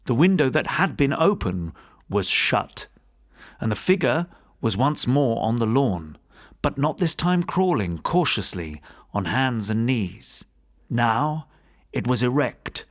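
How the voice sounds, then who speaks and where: A man narrating a gothic ghost story for an audiobook in a measured, formal, dramatic storytelling manner. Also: fairly low pitch.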